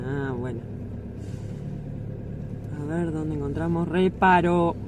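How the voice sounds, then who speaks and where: A woman's voice: deep voice, slow. A woman with an Argentine accent, a travel vlogger chatting and narrating casually. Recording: noisy outdoor and vehicle sound.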